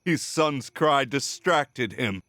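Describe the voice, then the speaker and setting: An actor playing an embittered, menacing middle-aged villain in a video game, with a theatrical, taunting delivery. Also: male voice, medium pitch, lively intonation, slow, pushed and bright, dry recording, studio quality. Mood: bitter, gloating. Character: embittered, menacing villain, a false father consumed by obsession. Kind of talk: dramatic video game voice acting.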